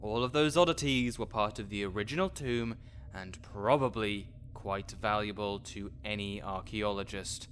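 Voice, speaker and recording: A man's voice: lowish voice, normal speed, a bit bright, a little hiss or hum, lightly acted. A man playing a grouchy, scholarly necromancer in an audio roleplay, condescending, pedantic and sarcastic. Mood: weary irritation. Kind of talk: audio roleplay acting addressed to the listener.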